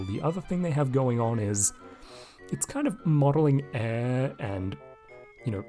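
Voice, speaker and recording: A man with a slightly raspy voice, casually commenting over gameplay into a desk microphone and thinking aloud with lots of asides. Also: lowish voice, darkish tone. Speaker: video game commentator. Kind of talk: casual let's-play commentary.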